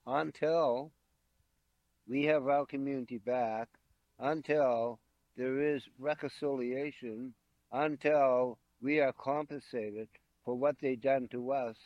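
A man's voice: mid-range voice, steady tone, slow pace, no echo, muffled recording. A man in his seventies speaking firmly and solemnly as an interviewee in a documentary clip. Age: 70s. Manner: solemn, firm testimony.

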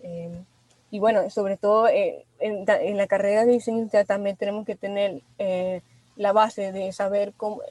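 A young Venezuelan woman answering radio interview questions as an industrial designer, pausing often with fillers and restarts. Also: mid-range voice, steady tone, warm tone, dry recording, poor audio. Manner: hesitant, many fillers and restarts. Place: radio studio.

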